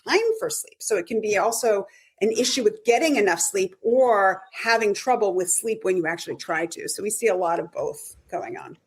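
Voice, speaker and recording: A middle-aged woman being interviewed about sleep medicine. Her voice is a little hoarse, and her answers run on with restarts and self-corrections. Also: mid-range voice, normal speed. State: voice going hoarse. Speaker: sleep medicine expert guest.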